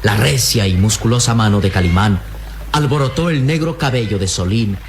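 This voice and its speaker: A man's voice: fairly low pitch, normal speed, background noise, close to an everyday voice. Man as emphatic adventure radio-drama narrator. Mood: epic, descriptive. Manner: grave, emphatic, florid storytelling delivery. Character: solemn male adventure storyteller.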